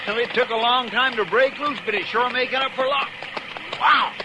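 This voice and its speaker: An actor playing a loyal, folksy deputy sidekick who talks in a country drawl, in a 1950s radio drama. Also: male voice, extremely high pitch, normal speed. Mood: eager. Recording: hissy old radio transcription.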